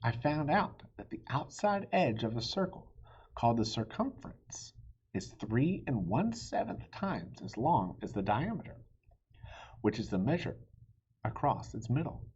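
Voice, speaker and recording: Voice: medium pitch, very animated, a little slow, a little mellow, slightly rough, lo-fi sound, exaggerated voice. A man voicing an earnest, eager young boy on a quest in a storybook. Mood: proud.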